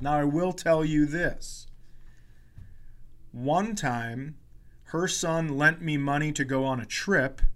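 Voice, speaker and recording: Male podcast comedian, 40s, higher-pitched voice, casual storyteller with a sweary, exasperated rant style. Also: pushed and bright. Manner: profane, exasperated ranting.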